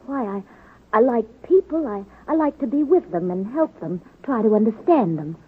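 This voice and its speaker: Woman as hopeful student nurse, young, earnest, hesitant, highish voice, tinny old-radio sound, 1940s drama acting. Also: lively intonation, moderate pace, a little hiss or hum, close to an everyday voice. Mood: eager, earnest. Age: young adult. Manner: earnest, hesitant, breathless eagerness. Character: eager, earnest young female would-be nursing student. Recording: tinny phone-like vintage radio recording.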